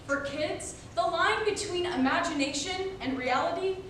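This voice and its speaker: A woman's voice: extremely high pitch, moderate pace, fairly bright tone. A young adult woman giving a rehearsed stage presentation as a performer. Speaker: college forensics performer. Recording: very echoey room, distant mic, faint noise.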